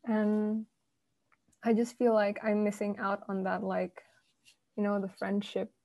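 A young woman, a university student, chatting casually with friends in a rambling way full of fillers, her voice croaky from the early morning. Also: medium pitch, completely flat delivery, a little slow, dark tone, dry recording. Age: young adult. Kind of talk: casual group chat.

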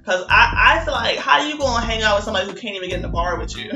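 Podcast co-host, woman in her twenties, playful and teasing, middle-pitched, echoey room with soft music. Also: rapid-fire. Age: 20s. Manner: teasing, animated, laughs often. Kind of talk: casual chat.

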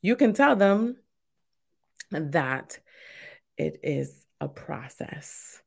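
A woman's voice: sits low, big pitch swings, drawn-out words, vocal fry, darkish tone, slightly rough. A woman from Brooklyn, New York, hosting a podcast and chatting casually, peppering her talk with "like" and "you know". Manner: conversational, uses "like" and "you know" often. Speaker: podcast host. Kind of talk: casual podcast interview chat.

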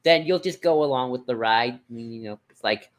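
A podcast co-host in casual discussion, speaking in a hesitant, halting way as if thinking aloud. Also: mid-range voice. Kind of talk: casual discussion of franchise books and comics.

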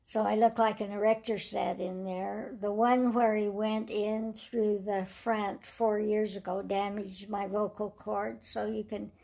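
An older woman speaks with a raspy, gravelly voice. She tells her story in a slow, measured way, letting phrases trail off softly. She sounds like she is being interviewed about her life. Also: mid-range voice, level tone.

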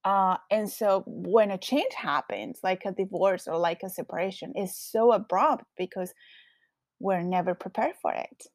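Gravelly Colombian-accented woman host. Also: medium pitch, unhurried, no echo. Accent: Colombian Spanish. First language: Spanish. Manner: reflective, candid, with frequent fillers. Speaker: podcast host. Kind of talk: solo podcast monologue.

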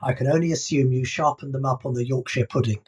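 A man's voice: mid-range voice, steady tone, fast talker, darkish tone, breathy edge. A man co-hosting a podcast in casual discussion, reading out excerpts from an old radio script. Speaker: podcast co-host.

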